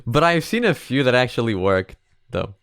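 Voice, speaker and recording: A man's voice: mid-range voice, sing-song, normal speed, a bit bright, clean tone, dry recording, clean studio sound. A man giving casual, rambling commentary for a reaction video, circling back over his points. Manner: rambling, repetitive analysis.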